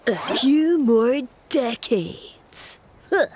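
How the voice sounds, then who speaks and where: A woman voicing a slow-witted little fungus sidekick who gushes with admiration. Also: sits high, animated, darkish tone, slight background noise, heavily acted. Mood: eager. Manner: eager, gushing, slow-witted. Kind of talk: video game voice acting.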